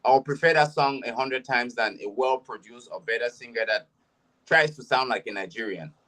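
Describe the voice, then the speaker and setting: A man's voice: medium pitch, moderate pace, pushed and bright. A man who is a musician, giving his view on a panel in a hesitant way with many restarts. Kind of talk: panel discussion.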